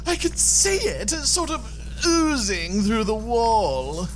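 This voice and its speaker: A flighty, excitable crewwoman, voiced by an actress in a sci-fi comedy. She is naive and dramatic, with an extremely animated pitch over clear music. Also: slow pace. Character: flighty, naive, excitable female crew member.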